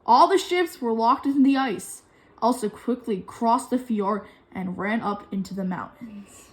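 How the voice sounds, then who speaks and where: A woman telling a children's story from a book in an expressive way. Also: on the high side, a little slow, low-quality recording. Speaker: storybook reader.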